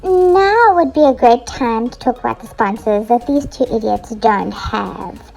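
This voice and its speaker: A woman's voice: on the high side, a little slow, creaky, a little mellow, poor audio. A woman voicing a sassy, mocking talking dog mascot in a comic podcast sponsor break. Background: music.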